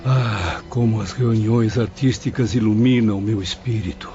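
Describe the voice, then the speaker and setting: A man acting earnest professor, fervent formal speech, mellow voice, audio drama, dull sound. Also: fairly low pitch, a little slow, lightly acted. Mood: moved, admiring. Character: earnest male professor spirit, devoted to art. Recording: dull audio with faint music.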